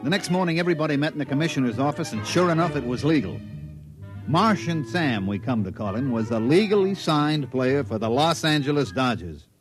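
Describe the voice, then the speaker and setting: A man's voice: a little high, lively intonation, a little fast, close to an everyday voice. An actor playing a wry baseball team man who tells a tall tale in a colloquial, folksy way in an old radio drama. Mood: wry recounting. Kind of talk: 1950s radio drama acting, folksy narration. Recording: vintage radio broadcast with music.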